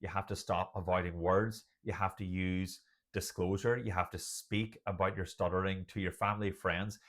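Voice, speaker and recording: A man's voice: low-pitched, level tone, normal speed, fairly bright tone. An Irish man speaking as a coach, giving motivational advice in an earnest, emphatic way with listed, repeated phrases. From Ireland. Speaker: doctor and stutter coach.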